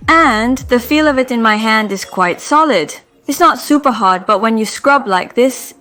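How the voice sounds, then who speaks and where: A woman with a fairly high, slightly hoarse voice walks through household products one feature at a time. She speaks in a calm, methodical way. Soft music plays faintly under her voice. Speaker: home organizing vlogger. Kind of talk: product review vlog.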